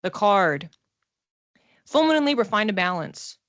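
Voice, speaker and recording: A woman giving a casual one-to-one card reading as a psychic, talking conversationally and haltingly, circling back over phrases as she thinks aloud. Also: medium pitch, sing-song, a bit bright, no room sound, highs cut off. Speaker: psychic reader.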